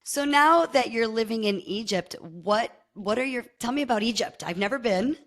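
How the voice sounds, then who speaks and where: A woman's voice: a little high, expressive, normal speed. Woman podcast host, curious, lots of little wows and mm-hmms. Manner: curious, short warm reactions. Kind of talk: casual interview chat.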